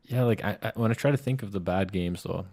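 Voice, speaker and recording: A man's voice: lowish voice, quick pace, darkish tone. A man talking casually as a podcast co-host. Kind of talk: casual chat.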